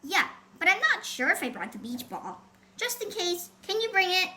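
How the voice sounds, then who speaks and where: A woman voicing an eager, easygoing young boy in a storybook, casual and excitable. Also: very high-pitched, normal speed, a little hiss or hum, pitched above the performer's own voice, exaggerated voice.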